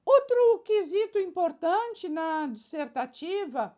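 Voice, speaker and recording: A woman's voice: very high-pitched, darkish tone, lo-fi sound. A woman teacher giving an exam-prep video lesson, explaining step by step with examples and frequent check-in questions to the viewer.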